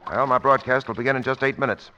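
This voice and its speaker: A man's voice: mid-range voice, flat delivery, fast, bright, forceful tone, highs cut off, close to an everyday voice. A man playing a dramatic radio broadcaster in a 1940s radio thriller, with a theatrical, suspenseful delivery. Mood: confident, brisk. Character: bold male radio broadcaster, dramatic, seized by terror. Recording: vintage 1940s radio recording.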